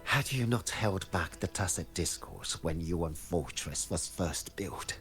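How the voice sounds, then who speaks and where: A man's voice: low-pitched, animated, slow pace, a bit bright, exaggerated voice. An actor playing a polite, deferential male mechanist in a fantasy game, speaking in a formal manner. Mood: courteous. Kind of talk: scripted game voice acting. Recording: music under the voice.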